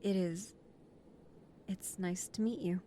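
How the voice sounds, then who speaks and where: A woman's voice: fairly low pitch, steady tone, takes it slowly, warm tone, slight background noise. A woman playing a nervous, deferential mafia recruit who speaks politely and formally, with hesitation, in an audio roleplay. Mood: nervous, apologetic.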